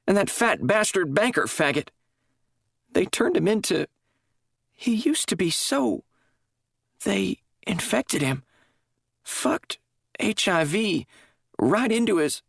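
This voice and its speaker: A woman's voice: fairly low pitch, creaky, no room sound, studio quality, pitched above the performer's own voice, heavily acted. A man reading a story, voicing a distraught woman who is crying and rambling in broken-off sentences. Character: unhinged dying woman, bitter and grieving.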